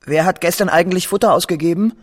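An actor playing a meek, anxious young bookkeeper who speaks softly and hesitantly, in a German radio drama. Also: male voice, sits high, quick pace, background noise, close to an everyday voice. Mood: uneasy. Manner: hesitant, timid, soft-spoken.

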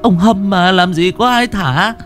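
A man voicing a distraught village mother in a high, shrill voice, praying and scolding. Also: a little slow, a bit bright, soft background music.

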